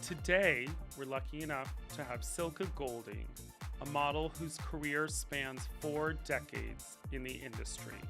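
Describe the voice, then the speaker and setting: Gravelly-voiced upbeat male podcast host. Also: fairly high pitch. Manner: upbeat and eager. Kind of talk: podcast interview hosting.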